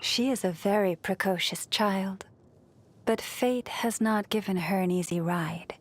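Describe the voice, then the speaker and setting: Game casting: actress as a kind, serene priestess who guards nature; soft, soothing, reverent delivery at a slow pace, mid-range female voice. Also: mid-range voice, natural voice. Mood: calm, reflective. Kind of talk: scripted video game character voice lines.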